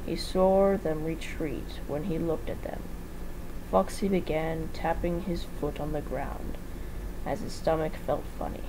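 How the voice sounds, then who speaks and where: A woman's voice: deep voice, fairly even intonation, measured pace, background noise. A woman giving an amateur dramatic reading of a fan fiction story, sometimes stumbling over words and rereading them.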